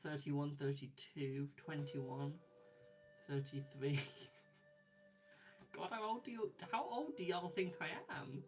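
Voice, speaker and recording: A man's voice: on the high side, takes it slowly, music in the background. Chatty young man reading viewer comments. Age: 20s. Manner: chatty, reads viewer comments aloud, laughs often. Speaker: tarot reader. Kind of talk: live stream chat with viewers.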